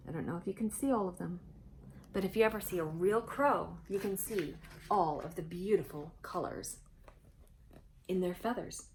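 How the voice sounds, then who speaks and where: A woman's voice: fairly low pitch, normal speed. Woman storyteller with faint background noise. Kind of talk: children's storybook read-aloud with chatty asides.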